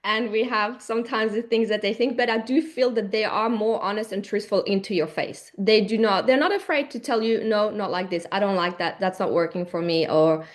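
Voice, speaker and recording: A woman's voice: mid-range voice, a little fast, few pauses. A woman from Switzerland talking casually as the host of a conversation.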